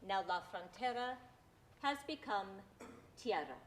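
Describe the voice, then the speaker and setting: A woman reading scripted stage directions as narrator, in deliberate, measured phrases. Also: medium pitch, expressive, slight background noise.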